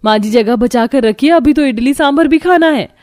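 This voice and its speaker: A woman's voice: sits high, moderate pace, darkish tone, voice pushed up, close to an everyday voice. A woman voicing a caring, cheerful young wife and mother in a cartoon story. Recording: music bed under the voice.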